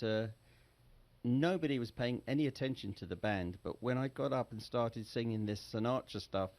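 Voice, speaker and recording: A middle-aged English man with an English accent, a singer telling relaxed, chatty stories as an interview guest. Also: medium pitch. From England. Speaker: guest, Sinatra tribute singer. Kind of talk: casual interview storytelling.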